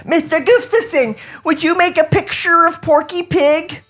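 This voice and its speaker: Woman acting Depression waif boy, high little voice, adorable, pleading, comic table read, music underneath. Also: normal speed, a bit bright. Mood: sweetly eager.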